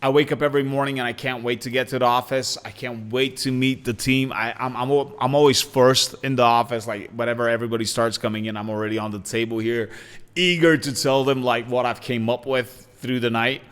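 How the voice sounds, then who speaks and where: A man in his forties from Bulgaria hosts his own podcast in a casual solo monologue, talking with lots of energy and plenty of "uh"s and restarts. His voice is smooth and resonant at a middling pitch. A long reverb hangs around it, as if he were in a big, hard room. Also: fairly quick. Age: 40s. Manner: energetic, talkative, with frequent fillers and restarts. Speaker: podcast host. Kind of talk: casual self-introduction monologue.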